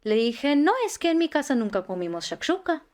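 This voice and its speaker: A woman in her twenties from Israel, answering interview questions with frequent pauses and restarts. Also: on the high side, very animated, a little mellow. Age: 20s. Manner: hesitant, many fillers and restarts. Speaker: guest. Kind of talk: casual interview.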